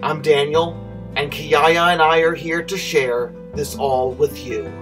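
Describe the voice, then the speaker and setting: An eager man pitching his show in a bright, pushy promo voice that climbs high and loud. Guitar music plays behind him, and he sounds a little distant from the mic in a home stairway. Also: steady tone, takes it slowly. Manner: emphatic, salesy, rising to loud. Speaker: host.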